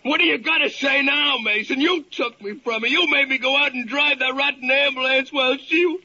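Overwrought, slangy ambulance driver, high-pitched. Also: male voice, pushed and bright, heavily acted. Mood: anguished. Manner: rough slangy speech, emotional, halting. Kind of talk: 1940s radio drama acting. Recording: muffled old-time radio recording, faint music.

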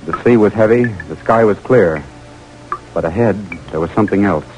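An actor with an American accent playing an eager young lieutenant on a secret mission, in 1940s radio drama style, on an old recording. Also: male voice, lowish voice, normal speed, highs cut off, over loud music, lightly acted. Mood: dramatic reminiscing. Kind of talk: 1940s radio drama acting and first-person narration.

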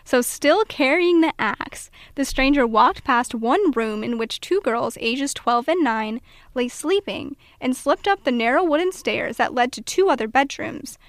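Young american woman podcasting, really high voice, playful and jokey, dry close sound. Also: animated, measured pace, no echo. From Pennsylvania and Iowa, US. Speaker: podcast co-host. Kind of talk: casual banter and true-crime narration.

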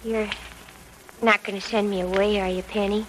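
A faintly noisy old radio serial recording carries an actress as a girlish young wife. She is earnest and gentle, coaxing eagerly in a mid-pitched female voice. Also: level tone, moderate pace, close to an everyday voice. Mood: tentative, concerned. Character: earnest, girlish young expectant mother visiting friend. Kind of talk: 1940s radio drama acting.

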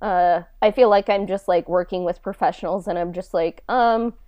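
A woman's voice: fairly low pitch, moderate pace, no room sound, dull audio. A woman co-hosting a podcast in casual chat, informal and self-effacing in the way she talks. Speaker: podcast co-host and audio drama creator.

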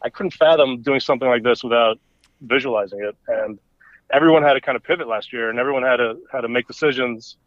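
A man's voice: medium pitch, quick pace, no echo. A man from El Salvador, a native Spanish speaker, talking casually as a guest, rambling with many fillers and restarts. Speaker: gallery owner, guest. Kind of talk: casual conversation. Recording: dull lo-fi audio.

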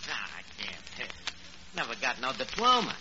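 Dim New lug, noisy old radio. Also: male voice, medium pitch, huge pitch swings, muffled recording. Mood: sheepish. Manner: halting, muddled, mangling words. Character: dim, bluffing, uneducated working-class city man. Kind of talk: 1940s radio comedy acting.

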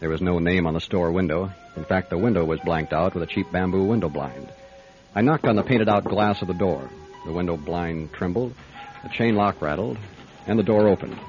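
Radio mystery private eye, male actor: low voice, wry, unflashy, methodical, on muffled old-style audio. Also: brisk, darkish tone, lightly acted. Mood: wry narrating. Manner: matter-of-fact, wry. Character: dry, methodical male private investigator telling his case. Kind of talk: radio mystery acting, first-person detective narration. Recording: dull lo-fi radio recording, faint music.

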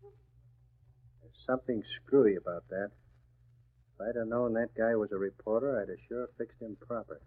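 An actor playing a tough, short-tempered gangster boss, barking slangy threats in a 1940s radio drama. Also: male voice, lowish voice, moderate pace, pauses often, lightly acted. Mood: irritated. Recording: tinny, narrow-band old radio recording.

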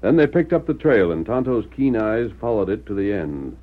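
A man's voice: lowish voice, big pitch swings, normal speed. A man narrating an old-time radio drama as announcer, in a formal, dramatic manner. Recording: tinny vintage radio recording.

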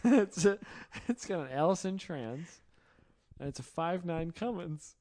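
A hoarse man in a garage, joking his way through a late-night chat. His pitch swings widely as he teases and laughs. He sounds worn out. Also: expressive, measured pace, a little mellow. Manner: tired, joking, laughs often. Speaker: podcast host. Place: home garage.

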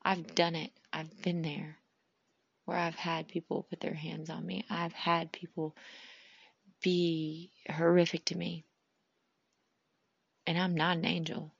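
A woman hosting a podcast, talking in a rambling, heartfelt way and often dropping to a soft whisper. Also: on the low side, little pitch movement, takes it slowly, creaky, long pauses, husky, dry recording, highs cut off. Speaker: podcast host. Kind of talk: casual reflective monologue.

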